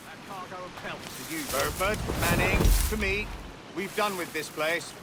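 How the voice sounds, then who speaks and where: Game merchant agent male actor, genteel, smug, high-pitched, ornate formal delivery, very noisy background. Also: normal speed, lightly acted. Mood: courteous, smug. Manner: pompous, formal, self-satisfied. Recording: game audio with loud outdoor noise.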